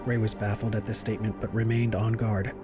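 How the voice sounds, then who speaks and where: A man's voice: fairly low pitch, level tone, a little fast, loud music behind the voice. A man delivering dramatic scripted narration as the narrator of an audio drama. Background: dramatic score.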